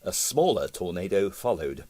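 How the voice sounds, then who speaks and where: A man narrating a comic novel as an audiobook, with dry, expressive storytelling. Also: low-pitched, moderate pace.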